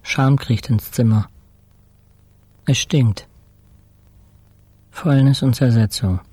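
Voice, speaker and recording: An actor playing a weakened, introspective hospital patient in a radio play, speaking in measured, terse fragments. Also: male voice, medium pitch, dark tone, husky, no echo. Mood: brooding, raw. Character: weakened male hospital patient, introspective, haunted, recounting his ordeal. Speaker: actor narrating a radio play in first person. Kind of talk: literary radio drama monologue.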